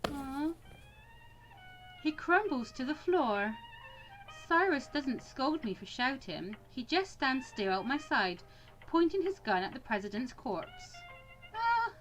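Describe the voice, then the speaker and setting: High, animated woman reading a visual novel. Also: a little slow, low-quality recording. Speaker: let's player reading a visual novel aloud. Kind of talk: expressive dramatic reading with brief casual reactions. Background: music.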